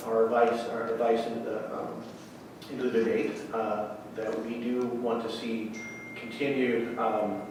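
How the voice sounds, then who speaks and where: A man giving earnest public comment as a local business owner, halting with frequent ums and uhs. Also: mid-range voice, noisy background. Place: council chamber. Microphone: podium mic heard from a distance. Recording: echoey hall, distant mic.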